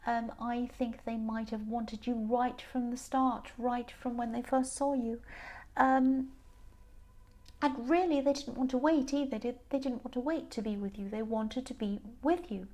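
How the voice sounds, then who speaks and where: A woman with a slightly croaky, tired voice giving a casual tarot reading, gentle and meandering with many ums and restarts. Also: a little high, steady tone, unhurried. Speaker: tarot reader.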